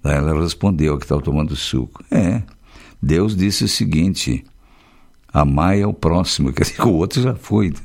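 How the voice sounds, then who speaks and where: A radio news host, reading local stories and breaking off into folksy, chatty asides. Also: male voice, a bass voice, lively intonation, normal speed, vocal fry, darkish tone. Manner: chatty, folksy commentary between read news items.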